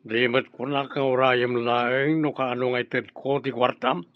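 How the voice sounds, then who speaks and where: A man playing a menacing, bullying crime boss in a radio drama, with a gravelly voice. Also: mid-range voice, level tone, measured pace, no room sound, lightly acted. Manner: bullying, intimidating. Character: menacing crime boss threatening his underling with a gun. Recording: dull, muffled radio drama audio.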